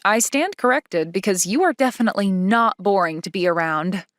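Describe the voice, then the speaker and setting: A woman's voice: medium pitch, lively intonation, normal speed, soft background music, lightly acted. A young woman playing a reserved yet playful schoolgirl in a manga dub, coy and matter-of-fact with a touch of indignation. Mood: pleased. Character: reserved yet playful, easily flustered schoolgirl love interest.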